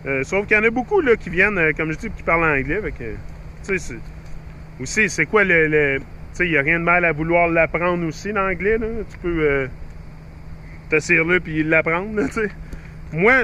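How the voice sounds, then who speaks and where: A man's voice: high-pitched, pushed and bright, a little hiss or hum. A middle-aged man with a Québécois French accent, talking to his YouTube viewers in a rambling, chatty way with many fillers and restarts. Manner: rambling, chatty, frequent fillers and restarts, mixes in English phrases. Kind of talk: rambling casual monologue to viewers.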